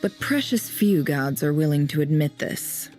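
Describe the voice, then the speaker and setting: A low, mellow female game character, a cool and cerebral investigator. She speaks deliberately and formally, like someone giving a measured account, with faint music behind her. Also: animated. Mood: grave, measured. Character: cool, cerebral woman investigator, a desert tribe's survivor. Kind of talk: scripted game voice acting, measured storytelling.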